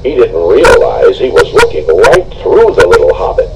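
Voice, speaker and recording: A man reading a storybook aloud with expressive, dramatic narration, on a noisy home recording. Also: deep voice, normal speed, vocal fry. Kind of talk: scripted storybook read-along narration.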